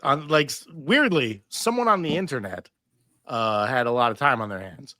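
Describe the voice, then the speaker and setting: A man's voice: medium pitch, expressive, normal speed, pushed and bright, dry recording, clean studio sound. A man chatting casually as a panelist, trading banter.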